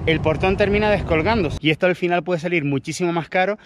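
A man's voice: high-pitched, quick pace, recorded from a distance, slight background noise. Chatty man recorded afar. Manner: chatty, explains step by step with fillers. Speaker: cycling vlogger. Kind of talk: casual explanatory vlog. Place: outdoors by a car on a country trail.

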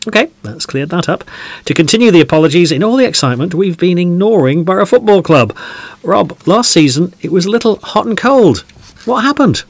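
A man's voice: high-pitched, lively intonation, a little fast, no room sound. A man playing a polite, chatty, slightly bemused podcast host in an audio drama.

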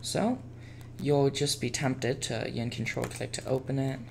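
A man presenting a casual step-by-step software tutorial as a screencast voice-over. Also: mid-range voice, fairly even intonation, measured pace, vocal fry. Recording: faint background noise and keyboard typing.

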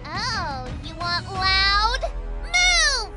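A woman voicing a hyper, wild young camper in a cartoon, shouting in a manic, giggly way. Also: extremely high pitch, big pitch swings, very slow, faint music behind, full character voice. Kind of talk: cartoon voice acting.

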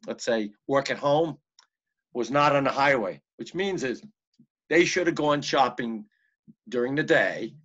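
A man's voice: medium pitch, fairly bright tone, slightly rough. An American man talking in a rambling way as he chairs a meeting over a video call. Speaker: board of health meeting chair. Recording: muffled, band-limited video-call audio.

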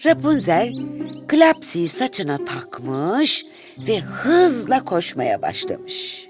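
A woman narrating a children's fairy tale in an expressive, deliberate storytelling style. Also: mid-range voice. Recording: music behind the voice.

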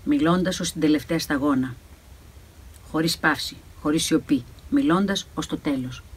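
Husky low-voiced woman reading dramatically. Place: recording studio. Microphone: studio microphone.

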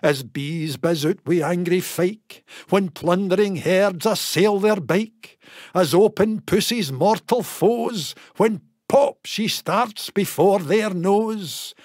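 A man with a Scottish accent giving a theatrical, expressive dramatic recitation of a narrative poem. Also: high voice, takes it slowly, dry recording, clean studio sound. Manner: theatrical, expressive storytelling delivery. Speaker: poetry reader.

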